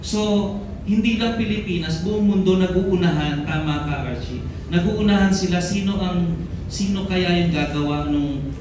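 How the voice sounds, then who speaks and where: A man's voice: sits high, moderate pace. A Filipino man, a film director, giving talkative press conference answers, mixing in English and often tagging on questions. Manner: talkative, code-switching into English, frequent tag questions. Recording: very echoey room, distant mic, loud noise.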